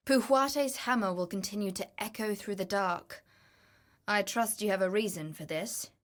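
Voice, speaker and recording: Casting: actress as adventurous female treasure seeker for a fantasy game, mid-pitched, measured, theatrical, wryly knowing. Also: close to an everyday voice. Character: wry, adventurous woman temple explorer and treasure seeker. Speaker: game companion character. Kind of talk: scripted video game voice lines.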